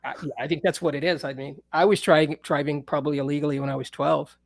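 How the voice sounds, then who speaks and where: A man with a high speaking voice in a casual, playful, teasing chat as he hosts another musician. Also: steady tone, fast, vocal fry, no echo, clean studio sound.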